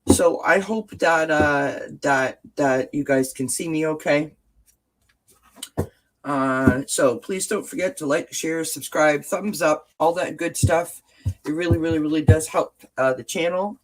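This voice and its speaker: A woman's voice: deep voice, a little slow, low-quality recording. Stuffed-up woman hosting a livestream. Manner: greets chat viewers by name with terms of endearment; frequent filler words and restarts. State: stuffed-up nose. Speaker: livestream host. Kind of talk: casual livestream chat. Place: indoors at home, lights off, sunny window behind.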